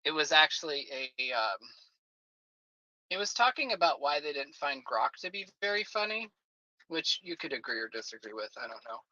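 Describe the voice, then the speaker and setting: Man, late 40s, bright forceful voice, dry close sound, slightly dull lo-fi audio, rambling chatty guest. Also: on the high side, big pitch swings, normal speed, no room sound. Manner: rambling, many "like" fillers. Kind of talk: casual chat.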